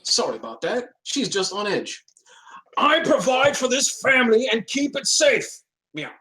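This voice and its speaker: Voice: medium pitch, very animated, a little slow, sharp tone, low-quality recording. An actor in a comedic script read voicing a bumbling, henpecked male sphinx cat husband, hesitant, shifty and chummy. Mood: flustered, excusing.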